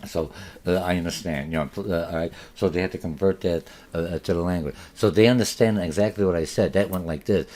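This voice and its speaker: A man's voice: low voice, fast talker, darkish tone. A man with a Polish accent, whose first language is Polish, giving a recorded college marketing lecture, rambling with many fillers and restarts.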